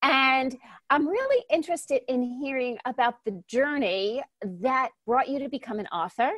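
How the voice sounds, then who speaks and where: A woman's voice: high-pitched, expressive, unhurried, a bit bright, no room sound, clean studio sound. A woman hosting a podcast, introducing her guest in a chatty way and correcting herself as she goes. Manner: chatty, self-correcting, stumbles over names. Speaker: podcast host.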